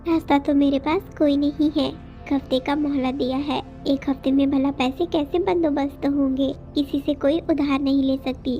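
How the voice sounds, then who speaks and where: A woman acts out a worried, hardworking mother bird for a children's cartoon. Her voice sits high and pleads tenderly and earnestly. The sound is a little dull, with music playing beneath. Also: fairly even intonation, normal speed, hardly any pauses, warm tone. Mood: worried, sorrowful. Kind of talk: Hindi children's cartoon dialogue.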